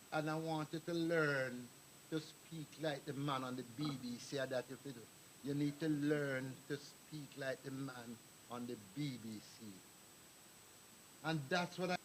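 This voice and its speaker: A man's voice: fairly high pitch, fairly bright tone, slight background noise, poor audio. Older man addressing a meeting. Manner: rambling, anecdotal, addresses the crowd directly. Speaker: speaker at a community meeting. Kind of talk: public address to an audience. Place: meeting hall.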